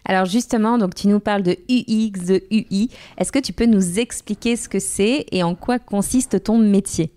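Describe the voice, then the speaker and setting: Woman podcast host, measured. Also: medium pitch, dark tone. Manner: clear, measured, guiding. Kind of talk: scripted intro, then interview questions.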